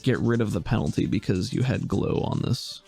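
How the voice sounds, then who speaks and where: A man narrating as game master in a casual tabletop session, relaxed and hesitant, thinking aloud with many fillers. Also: lowish voice, moderate pace, vocal fry, breathy edge, faint music behind.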